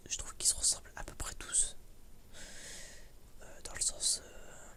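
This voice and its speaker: Whispering young man, hesitant and rambling. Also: a little slow. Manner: hesitant, rambling, informal and slangy. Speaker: ASMR creator. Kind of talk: whispered ASMR casual chat.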